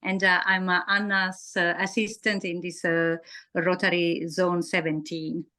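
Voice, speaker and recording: A woman from Italy introducing herself haltingly, with many fillers. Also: on the low side, flat delivery, bright, forceful tone. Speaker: assistant.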